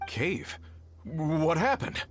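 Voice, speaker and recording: A man's voice: on the high side, very animated, extremely slow, exaggerated voice. A man playing an earnest, polite leader of a rescue party in video game voice acting. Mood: puzzled, grateful.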